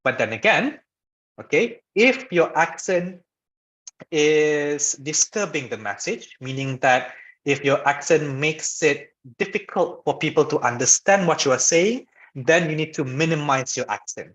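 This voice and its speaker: A man speaking English with a Malaysian accent, fielding questions on a webinar in a fairly high voice. He keeps checking in with his listeners as he explains. His audio comes through a dull, lo-fi video call. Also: animated, a little slow, a bit bright. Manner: repeats "okay" and "right" to check in. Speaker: guest speaker. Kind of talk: webinar talk answering questions.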